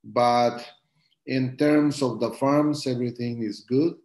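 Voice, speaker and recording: A man from Guatemala, a coffee farmer, answering interview questions over a video call in halting English with many fillers. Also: mid-range voice, fairly even intonation, roomy sound, noisy background. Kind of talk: live-stream interview answers.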